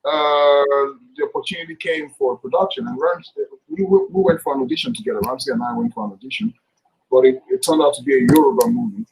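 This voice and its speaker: A middle-aged man, a guest actor chatting casually on a video call, relaxed and jokey. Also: a little high, fast talker, creaky, rough recording. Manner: relaxed, laughs often, jokey. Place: indoors, room with curtains. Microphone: webcam mic on video call.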